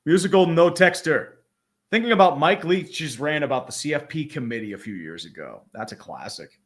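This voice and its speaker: A man with a slightly higher voice than most, talking sports in a loose, sardonic way. He riffs on teams and reads viewer comments aloud as casual banter. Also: lively intonation, normal speed. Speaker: podcast co-host.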